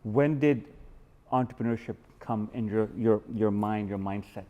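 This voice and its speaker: A man's voice: on the low side, moderate pace, dark tone, dull audio. A man from Pakistan hosting a podcast in a guest's house, opening with formal showman greetings and asking earnest questions. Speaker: podcast host. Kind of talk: podcast interview.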